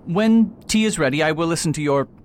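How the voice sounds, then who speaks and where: A man's voice: lively intonation, a little fast, close to an everyday voice. A man playing a proud, aloof old retired detective in a radio play, pompous and hesitant in manner. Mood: reluctant. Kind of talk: amateur radio play acting. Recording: faint rumbling background noise.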